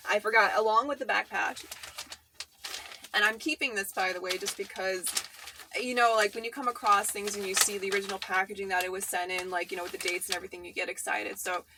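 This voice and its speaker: Female vlog host, bright forward voice, close dry sound, informal gushing chat with casual swearing. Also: mid-range voice, no echo.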